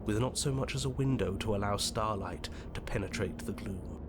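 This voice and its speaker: A man playing a weary, exasperated, stern unseen narrator in a game, speaking dryly and deliberately. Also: on the low side, noisy background, natural voice. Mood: grim, ominous. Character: weary, exasperated, imperious unseen storyteller spirit.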